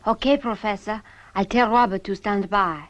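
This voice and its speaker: A woman's voice: moderate pace, natural voice. An actress playing a plucky, caring young woman on a spaceship crew in a vintage science fiction puppet series. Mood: businesslike. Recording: dull vintage soundtrack, loud music and rumble.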